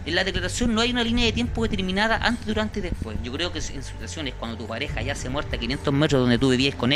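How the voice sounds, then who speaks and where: Indignant Chilean man, slightly high. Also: a bit bright. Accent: Chilean Spanish. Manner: insistent, indignant, repeats words while searching. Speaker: guest, victim's brother. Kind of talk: studio interview. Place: small indoor studio. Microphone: handheld mic.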